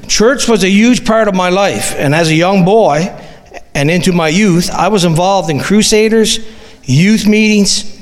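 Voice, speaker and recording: A man's voice: sits high, in a large hall. A middle-aged man from Newfoundland with a Newfoundland accent, earnestly reading his personal testimony aloud to a church congregation with small restarts. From Newfoundland, Canada. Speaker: guest giving a church testimony. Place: church hall.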